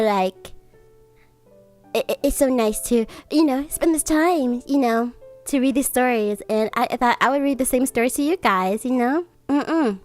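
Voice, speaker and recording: A woman livestreamer with a cutesy, gushing way of talking, chatting to viewers and reading bedtime stories. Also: sits high. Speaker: streamer, host.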